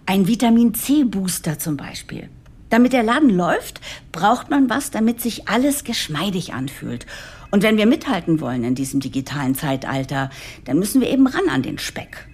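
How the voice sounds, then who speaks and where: A woman's voice: medium pitch, very animated, normal speed, darkish tone, breathy edge. A woman playing an earnest, idealistic new chief executive in a German audio drama. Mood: motivated, persuasive. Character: new female CEO, eager, idealistic, nervously determined. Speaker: lead character and narrator.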